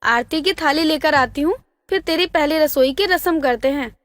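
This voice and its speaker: A proud, bossy middle-aged mother-in-law acted by a woman from a family storybook tale. She speaks very high, and the recording is close and dry. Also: moderate pace, dry recording, close to an everyday voice. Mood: instructing.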